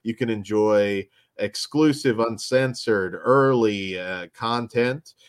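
A man's voice: on the low side, slow, no room sound, studio quality. A man co-hosting a podcast in casual chat, losing his voice, with rambling, filler-laden plugs. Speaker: podcast co-host.

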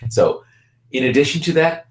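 A man from Bangladesh giving an energetic, persuasive sales pitch for his real estate program. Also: moderate pace, roomy sound. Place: Tampa, Florida.

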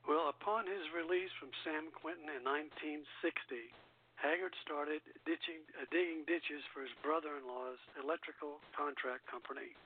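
A man's voice: on the high side, normal speed. A man hosting a radio show, reading a music biography aloud and stumbling over the script now and then. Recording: muffled, phone-like internet radio call-in line.

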